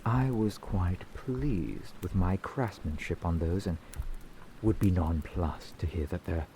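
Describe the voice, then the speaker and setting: Man acting a very deep, gruff grumbling blacksmith. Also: takes it slowly, a little hiss or hum. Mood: dry, reproachful. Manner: curt, grumbling, dry, wordy sarcasm. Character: gruff grumbling fantasy town blacksmith, reluctantly kind, adult man. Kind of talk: ASMR roleplay, slow scripted character acting. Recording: steady rain sound behind the voice. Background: rain falling.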